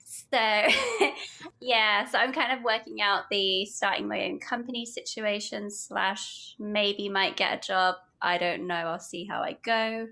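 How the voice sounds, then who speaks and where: A young British woman talks in a bright, clear voice as a podcast guest. She rambles cheerfully and giggles between thoughts. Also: medium pitch, measured pace. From United Kingdom. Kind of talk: casual podcast chat, reading aloud.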